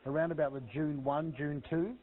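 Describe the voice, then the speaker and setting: A man chatting as an amateur radio operator over a radio transmission, speaking in a halting, hesitant way. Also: a little high, fairly even intonation. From Australia. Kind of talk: casual radio contact chat. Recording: narrow phone-like radio link audio.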